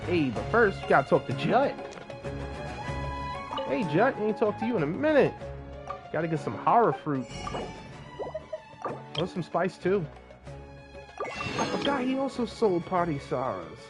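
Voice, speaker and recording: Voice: mid-range voice, very animated, measured pace, pauses often. A gamer giving casual playthrough commentary, informal and slangy, muttering reactions as they go. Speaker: gamer, streamer. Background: loud game music.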